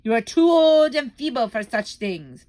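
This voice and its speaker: A woman's voice: lively intonation, moderate pace, a little mellow, close to an everyday voice. A woman voicing an imperious, cruel female witch leader who shrieks and barks out commands. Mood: commanding. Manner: shrill, barking commands.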